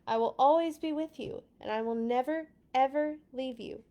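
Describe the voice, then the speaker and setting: A woman's voice: high voice, normal speed, warm tone, voice pushed up. Woman reading as God, emphatic and assuring. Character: God, a loving, solemn, promising male deity.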